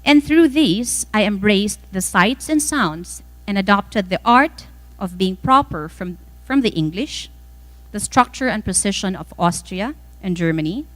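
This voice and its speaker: A woman delivers a prepared welcome address in a medium-pitched voice. Her intonation is lively and moves widely up and down. She phrases each thought deliberately and dramatically, leaving measured pauses between phrases. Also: low-quality recording. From the Philippines. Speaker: event speaker reading welcome remarks on another's behalf. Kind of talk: scripted speech, inspirational welcome address.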